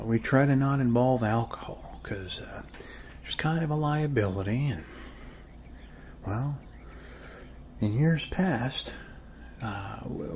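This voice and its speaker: A man playing a friendly, rambling middle-aged suburban neighbor in an ASMR role-play, with an American accent and a soft, hesitant, folksy delivery. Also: mid-range voice, lightly acted. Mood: friendly, chatty. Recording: faint steady background noise.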